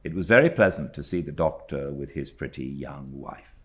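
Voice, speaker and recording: Low-voiced, expressive British male narrator. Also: moderate pace. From Britain. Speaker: audiobook narrator. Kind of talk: expressive literary storybook reading.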